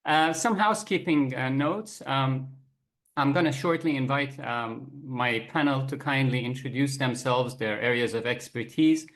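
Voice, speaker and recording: A man's voice: mid-range voice, measured pace, fairly bright tone. Man moderating a panel.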